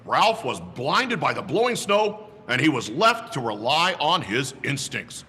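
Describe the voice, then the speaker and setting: Santa storyteller for children, unhurried and bright, expressive reading. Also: male voice, breathy edge, long reverb tail. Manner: slow, highly expressive.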